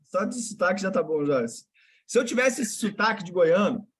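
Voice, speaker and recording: A man with a Carioca accent runs a live video call for his investment students. His voice sits high for a man. He talks in a brotherly, slangy way, cheering people on. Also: animated, moderate pace, breathy edge. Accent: Carioca Portuguese.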